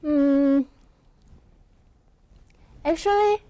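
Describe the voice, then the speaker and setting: A young woman from South Korea speaking English with a Korean accent, answering questions as a student guest in a small college TV studio. She talks in halting, simple phrases and laughs softly often. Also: high voice, dry recording. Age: young adult. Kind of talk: studio interview. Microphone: lapel mic.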